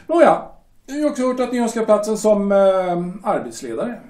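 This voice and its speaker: An actor playing a shipyard director who talks in a measured, hesitant way with drawn-out pauses. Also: male voice, high voice, big pitch swings, darkish tone, distant mic. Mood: cool, probing. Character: smooth, veiled-threatening male shipyard director. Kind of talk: amateur audio drama acting. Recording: roomy echo, voice set back from mic.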